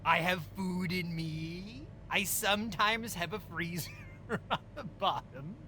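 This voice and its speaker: A man's voice: sits high, takes it slowly, bright, forceful tone, slight background noise, exaggerated voice. A man playing a petty, insecure villain in an improvised comedy audio drama, theatrical and overeager but easily flustered as he pushes his riddle game. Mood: showy riddling.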